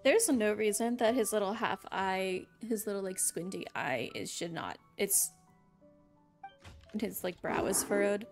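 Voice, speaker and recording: A woman's voice: mid-range voice, animated, measured pace. A young woman doing casual live gameplay commentary as a streamer, wry, rambling and playful. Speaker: game streamer. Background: game music.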